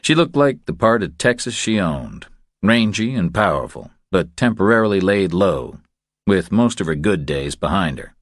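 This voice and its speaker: Very deep-voiced male audiobook narrator. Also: very animated, moderate pace, dry recording, clean studio sound. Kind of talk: scripted thriller narration.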